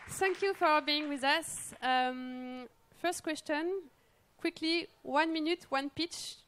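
A woman's voice: sits high, extremely slow, fairly bright tone, some chatter behind. A middle-aged woman, a native French speaker, moderating a panel in English on a handheld mic and laughing often between turns. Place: large event tent with audience.

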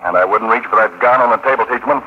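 An actor playing a steady, commanding American counterspy chief in a 1940s radio drama, speaking in a clipped, cool way with an American accent. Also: male voice, mid-range voice, quick pace, lightly acted. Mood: cool, threatening. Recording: muffled, hissy 1940s radio broadcast.

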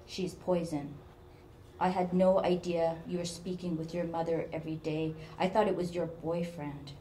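A woman's voice: level tone, moderate pace, dark tone, slight background noise, natural voice. Woman voicing a blunt, protective male coworker. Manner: blunt, low, fierce.

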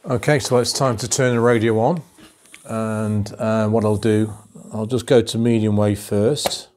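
A middle-aged man in a home workshop talks calmly and methodically through a radio repair, with many ums and uhs. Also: lowish voice, unhurried. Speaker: electronics restorer.

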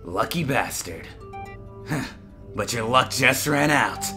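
A man playing a cocky, impatient young anime villain, taunting with a gravelly voice in a fan audio drama. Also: medium pitch, expressive, slow pace. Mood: impatient, sneering. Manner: taunting, sneering. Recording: music clearly behind the voice.

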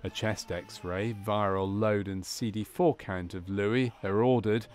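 A man reading scripted narration for a medical training film in a measured, formal manner. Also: fairly low pitch, steady tone, darkish tone.

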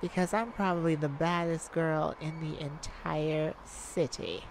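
A woman playing a cocky, flirtatious master thief in an ASMR romance roleplay, with teasing, drawn-out phrasing and dramatic pauses. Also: sits low, steady tone, slight background noise, low-quality recording.

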